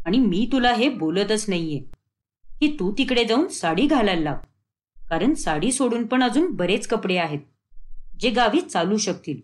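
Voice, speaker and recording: A woman's voice: medium pitch, close to an everyday voice. A woman voicing a stern, weary middle-aged husband and father in a cartoon story, firm and admonishing.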